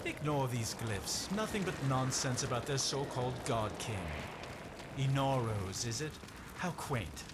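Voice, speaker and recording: A man's voice: expressive, a little slow, lightly acted. A man playing a pompous, swooping relic dealer. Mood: haughty disdain. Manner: pompous, theatrical, disdainful. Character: haughty, greedy male relic dealer scornful of local culture. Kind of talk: video game voice acting. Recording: noisy game sound, loud rumbling ambience.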